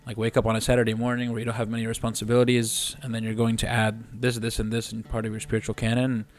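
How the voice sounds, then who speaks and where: A man's voice: mid-range voice, quick pace. A man in his twenties, a podcast guest and physical therapist, speaking earnestly and steadily. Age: 20s. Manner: earnest and steady, with occasional restarts. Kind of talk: casual chat.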